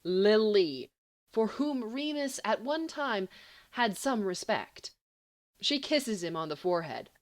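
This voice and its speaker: A woman reading a story aloud as an amateur audiobook narrator, in an expressive, dramatic and wry manner. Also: mid-range voice, slow pace. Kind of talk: expressive scripted fiction narration.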